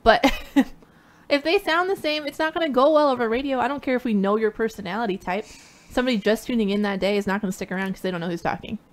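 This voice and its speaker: Woman, small light voice, high, opinionated, casual podcast chat. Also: fast. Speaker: podcast co-host.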